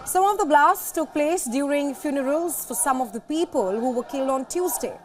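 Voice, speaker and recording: A woman with a notably high voice works as a news presenter. She reads scripted reports in the formal style of a news bulletin. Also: lively intonation, moderate pace.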